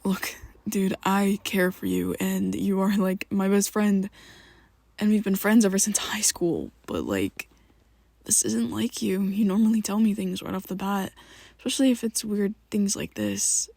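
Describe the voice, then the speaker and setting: A woman in an ASMR roleplay, talking to the listener as a worried best friend, halting and rambling, often sinking into a soft whisper close to the mic. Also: medium pitch, vocal fry, no echo. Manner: hesitant and rambling, halting restarts.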